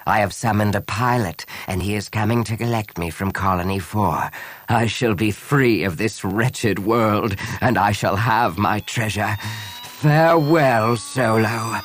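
A man voicing a gloating, mad alien high priest villain in an audiobook, with a drawn-out, menacing delivery. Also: a bit bright. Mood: gloating menace.

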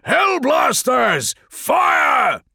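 A man voicing a commanding armored space marine captain barking forceful battle orders in a dramatic audiobook. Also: very high voice, wildly swooping pitch, very slow, gravelly, no room sound, studio quality, voice pushed up, exaggerated voice. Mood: bellowing. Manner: barked, forceful military orders shouted over battle. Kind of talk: dramatic audiobook character acting.

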